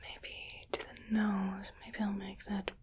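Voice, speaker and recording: Woman whispering as a slow, haughty alien collector. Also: medium pitch, completely flat delivery, natural voice. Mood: musing, critical. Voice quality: breathy whisper. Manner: slow, deliberate, condescending. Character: haughty, fussy female alien collector of human pets. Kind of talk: whispered ASMR roleplay.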